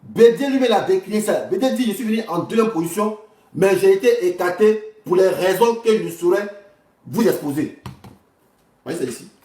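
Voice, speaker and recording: A man's voice: sits high, lively intonation, normal speed, warm tone. A man giving informal political commentary, speaking straight to viewers in an indignant, repetitive way. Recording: roomy, distant mic.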